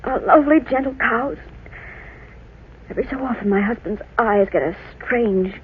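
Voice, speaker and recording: Actress as a high-voiced earnest 1940s farm wife. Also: female voice, moderate pace, close to an everyday voice. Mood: anxious, haunted. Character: gentle farm wife, anxious, frightened of her husband. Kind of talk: 1940s radio drama acting. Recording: tinny, noisy old radio recording.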